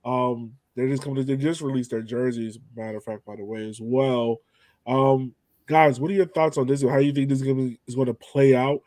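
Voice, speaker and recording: Man, podcast host, casual sports chat, mid-pitched voice, informal, dry recording. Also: fairly even intonation, normal speed, mellow, no echo.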